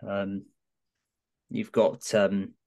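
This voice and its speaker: A man with a medium-pitched voice talks as a guest pundit on a sports podcast. His delivery is conversational and analytical, like an informal chat. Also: flat delivery, drawn-out words, creaky, mellow.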